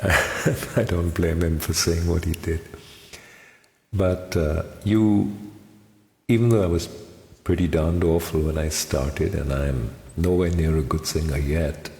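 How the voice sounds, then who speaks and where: Older man with a very deep, gravelly voice. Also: fairly even intonation, darkish tone. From India. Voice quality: very low voice. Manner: reflective, self-deprecating, many pauses and fillers. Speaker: amateur karaoke singer. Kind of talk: personal recollection. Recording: echoey room with long reverb.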